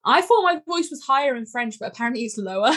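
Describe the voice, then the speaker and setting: A woman's voice: a little high, expressive, fast, fairly bright tone. Chatty young Englishwoman, laughing often. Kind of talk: casual chat.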